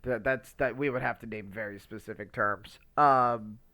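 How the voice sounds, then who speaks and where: A man's voice: on the low side, steady tone, normal speed, pushed and bright. A man co-hosting a casual podcast, teasing and bantering, often repeating words as he thinks aloud. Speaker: podcast co-host and streamer.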